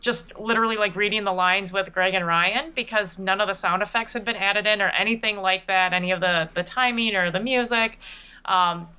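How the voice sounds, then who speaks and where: A woman's voice: medium pitch, fairly even intonation, a little fast, pushed and bright, clean tone, low-quality recording. A woman chatting casually and playfully as a guest in an interview. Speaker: podcast regular and voice performer being interviewed.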